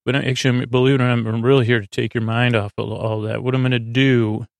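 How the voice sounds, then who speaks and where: A man with a creaky voice hosting a sleep podcast, giving a soothing, halting bedtime monologue that keeps meandering off into digressions. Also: mid-range voice, flat delivery, no echo. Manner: lulling, soothing. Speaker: podcast host. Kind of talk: rambling bedtime monologue to put listeners to sleep.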